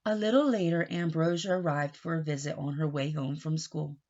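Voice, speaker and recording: A woman reading a picture book aloud to children, expressive, with questioning and explanatory asides. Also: low-pitched, fairly quick, dull audio. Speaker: storybook reader teaching children.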